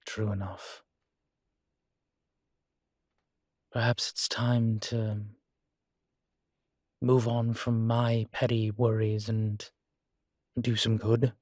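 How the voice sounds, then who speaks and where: A man playing a guilt-ridden, gentle and wry ancient vampire in an intimate audio roleplay, speaking in a halting, reflective way. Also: fairly low pitch, little pitch movement, no echo, studio quality. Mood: reflective, humbled. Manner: halting, reflective, with sighs and soft chuckles. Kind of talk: intimate one-sided audio roleplay acting.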